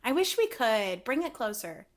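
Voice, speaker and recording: A loud, excitable woman co-hosting a casual chat podcast from a room at home. She swears freely and talks over her co-host. Her voice sits a little high. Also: moderate pace, crowd noise. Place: home room, live-streaming.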